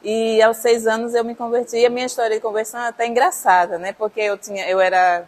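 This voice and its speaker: A woman's voice: a little high, little pitch movement, quick pace. A woman from Salvador with a Bahian accent, telling her life story in a chatty way, often tagging her sentences. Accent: Bahian Portuguese. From Salvador, Bahia, Brazil. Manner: chatty, frequent "né" tags. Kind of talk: personal testimony.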